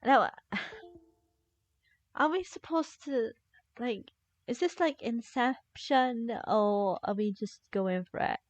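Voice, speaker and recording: A woman's voice: on the high side, takes it slowly, no room sound. A woman streaming a video game, giving casual, playful live commentary and reactions.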